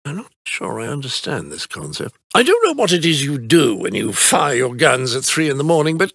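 Raspy, prim British butler. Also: male voice, medium pitch, wildly swooping pitch, normal speed, a bit bright, dry recording, clean studio sound, full character voice. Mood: politely puzzled. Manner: formal, deferential, drily understated. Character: prim elderly British butler, polite, fussy and bemused. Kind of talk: scripted video game character lines.

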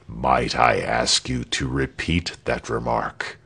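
A man's voice: a bass voice, big pitch swings, drawn-out words, gravelly, slightly rough, voice pushed down, heavily acted. A man voicing a haughty, coldly menacing governor with a curt, sardonic manner in a storybook reading. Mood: quietly menacing.

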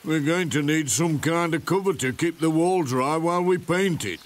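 A man with a Northern English accent playing an easygoing, obliging middle-aged village handyman in a children's cartoon, unhurried and folksy. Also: a little high, lightly acted.

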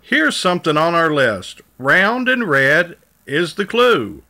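A man's voice: on the high side, very animated, slow pace, sharp tone, full character voice. A man reading the part of a cheerful, helpful big dinosaur in a children's storybook, encouraging and sing-song.

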